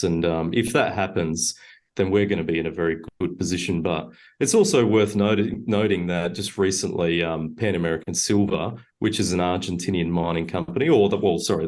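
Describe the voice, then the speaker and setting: A man, a mining company director, answering interview questions in a measured way with frequent fillers and self-corrections. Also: sits low.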